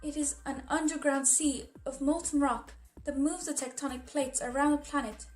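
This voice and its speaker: A woman voicing a calm, regal female pony princess who explains things with composed reassurance in a story reading. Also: high-pitched, moderate pace, soft background music, voice pushed up, close to an everyday voice. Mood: informative.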